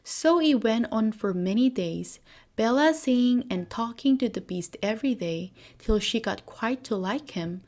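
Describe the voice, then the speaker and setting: A woman storyteller reading a scripted bedtime fairy tale at a medium pitch. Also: a little mellow.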